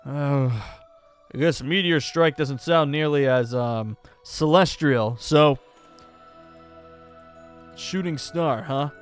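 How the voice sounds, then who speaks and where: A man's voice: on the high side, takes it slowly, long pauses, clean tone. A man playing a curious, easily impressed young gunslinger in an improvised Minecraft roleplay, talking casually and full of questions. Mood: impressed, incredulous. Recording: background music under the voice.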